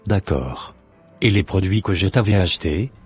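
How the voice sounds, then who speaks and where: Casting: male actor as devout, forgiving cartoon husband. Low-pitched, earnest, measured delivery, light music underneath. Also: animated, breathy edge, exaggerated voice.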